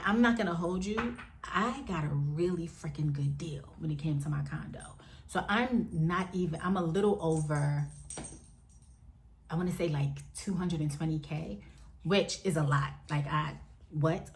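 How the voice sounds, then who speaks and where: A woman in her forties chatting frankly to camera at home, addressing viewers as y'all and jumping up in pitch now and then. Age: 40s. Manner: lively, with sudden jumps up in pitch. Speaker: vlogger. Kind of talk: candid casual chat to camera.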